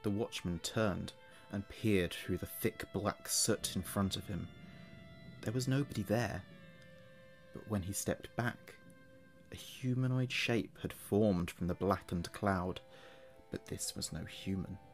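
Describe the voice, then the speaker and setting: A man tells a scripted horror tale in a fairly low voice. He takes his time, very slowly laying out each phrase with dramatic, ominous weight. Faint music plays behind him. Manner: measured, dramatic, ominous delivery. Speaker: horror storyteller.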